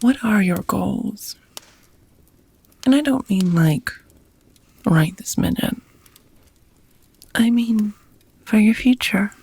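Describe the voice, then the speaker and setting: A woman playing an affectionate, languid female vampire lover in an ASMR romantic roleplay, speaking softly in breathy whispers with slightly formal phrasing, close to the microphone. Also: mid-range voice, animated, takes it slowly, long pauses, no room sound, exaggerated voice. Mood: gentle, curious. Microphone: close microphone, dry.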